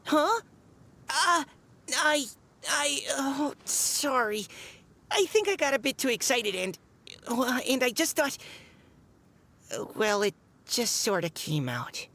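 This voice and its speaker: A young, heartfelt girl's voice with very animated intonation. She is a kindhearted game character, eager and earnest, and a little hesitant when she gets carried away. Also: high-pitched, slow, long pauses, exaggerated voice. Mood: flustered. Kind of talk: video game cutscene acting.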